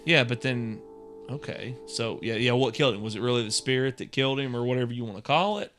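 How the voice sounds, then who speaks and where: A man's voice: mid-range voice, fast talker, fairly bright tone, soft background music. A man co-hosting a podcast, chatting casually and explaining his take with conversational asides. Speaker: podcast co-host. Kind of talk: casual chat.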